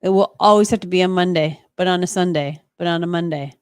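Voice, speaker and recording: A woman's voice: lowish voice, steady tone, fast talker, clear, resonant tone. Playful woman co-hosting a live stream. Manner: teasing, playful banter. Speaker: live stream co-host reading viewer chat. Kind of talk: casual live stream chat.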